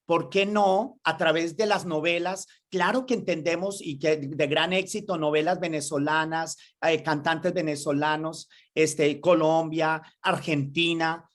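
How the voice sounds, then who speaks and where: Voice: medium pitch, fairly even intonation, measured pace, few pauses. A host chatting enthusiastically in a casual interview over a video call. The host rambles through long thoughts full of fillers and restarts.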